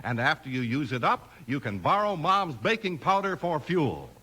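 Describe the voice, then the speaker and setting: Male 1950s radio pitchman, American, husky and forceful, very animated delivery, emphatic. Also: normal speed. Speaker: announcer. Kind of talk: 1950s radio advertising and narration.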